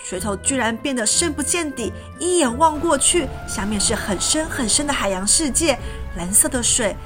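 High-voiced woman narrating a children's story. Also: lively intonation, normal speed. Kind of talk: expressive scripted storybook narration. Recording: music behind the voice.

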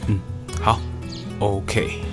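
A man's voice: on the low side, flat delivery, very slow, loud music behind the voice. An actor with a Taiwanese Mandarin accent playing an exasperated young man who mutters and grumbles in colloquial speech in an audio drama. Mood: puzzled, muttering. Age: young adult. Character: exasperated, puzzled young man living alone. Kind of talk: podcast audio drama, talking to himself.

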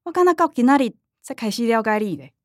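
A concerned middle-aged mother in a radio drama, coaxing her family in a Taiwanese accent. Her fairly high voice moves expressively over a dry recording. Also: big pitch swings, fast talker, a little mellow, no echo. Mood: hurt, pleading.

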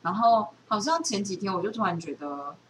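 A woman's voice: medium pitch, lively intonation, a little fast. A woman with a Taiwanese accent chatting casually as a podcast co-host. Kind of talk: casual chat. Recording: distant mic, clearly noisy background.

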